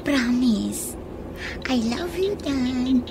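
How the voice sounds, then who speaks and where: An actress playing a coaxing young student who juggles two lovers in a radio drama, with an Ilocano accent. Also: female voice, high voice, lively intonation, extremely slow, background noise, heavily acted. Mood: coaxing, apologetic. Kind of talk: Filipino radio drama acting.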